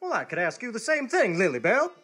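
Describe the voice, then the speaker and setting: A blustering small-town lawman from a radio comedy, acted by a man. His husky voice leaps wildly up and down in stern, folksy commands. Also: sits high, huge pitch swings, fairly quick, fairly bright tone, full character voice. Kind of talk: live comedy radio theatre acting.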